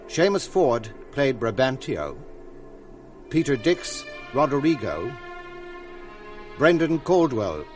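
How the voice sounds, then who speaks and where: A male radio announcer reading the closing credits of a drama in a measured, formal way. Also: medium pitch. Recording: distant broadcast announcer with music.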